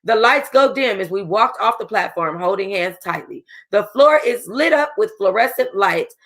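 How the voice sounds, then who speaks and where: A woman's voice: mid-range voice, animated, moderate pace, a bit bright. A young woman reads a novel aloud to her book club in an easy, casual way. She trips over words, goes back to reread them, and stops now and then to talk straight to her listeners. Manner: casual, chatty asides to listeners; stumbles and rereads lines. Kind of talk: reading a novel aloud with asides.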